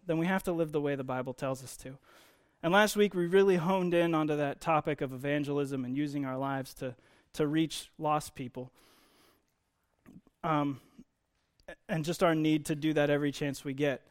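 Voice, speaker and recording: A man's voice: a little high, normal speed, clean tone. A man giving a conversational sermon, explaining Bible teaching in an informal way with emphasis on key words.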